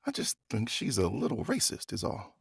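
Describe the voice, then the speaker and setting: A man's voice: lowish voice, wildly swooping pitch, moderate pace, vocal fry, slightly rough, dry recording, studio quality, heavily acted. An actor playing a grieving, world-weary man in a horror audio drama, with a subdued, dryly wry delivery. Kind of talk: naturalistic dramatic dialogue.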